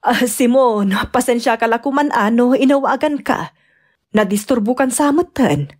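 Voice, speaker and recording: A woman's voice: a little high, expressive, normal speed, a little mellow. A woman with an Ilocano accent playing an anxious, long-suffering betrayed wife and mother in a radio-style drama. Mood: worried, hesitant.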